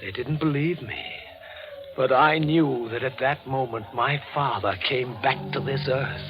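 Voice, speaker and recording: An actor playing an intense, morbid, grieving young son in 1940s radio horror, with a theatrical, fervent delivery. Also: male voice, mid-range voice, unhurried, close to an everyday voice. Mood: haunted, hushed. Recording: muffled 1940s radio recording with music.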